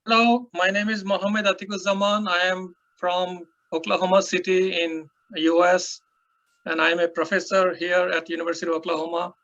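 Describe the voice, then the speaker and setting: A man's voice: high-pitched, flat delivery, normal speed, fairly bright tone. A man from Bangladesh, a professor, introducing himself plainly in an online meeting. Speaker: professor, attendee. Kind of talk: self-introduction. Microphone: video call. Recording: muffled call audio.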